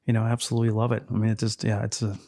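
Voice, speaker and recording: A man in his fifties from Texas, Spanish his first language, talking in a measured, reflective way as a podcast guest. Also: lowish voice, flat delivery, creaky, mellow. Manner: measured, reflective, with frequent fillers. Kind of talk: casual interview chat.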